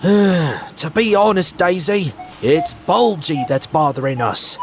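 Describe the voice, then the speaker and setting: A man's voice: fairly high pitch, expressive, slow pace. A man playing a sensible, resigned talking engine in a cartoon dub. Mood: weary. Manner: reasoning, resigned. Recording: music playing behind.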